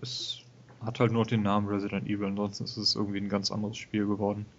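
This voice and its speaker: A man co-hosting a casual gaming podcast, talking in a relaxed, hesitant way with many fillers. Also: fairly low pitch, fast, a little hiss or hum. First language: German. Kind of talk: casual game review chat. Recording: dull lo-fi home recording.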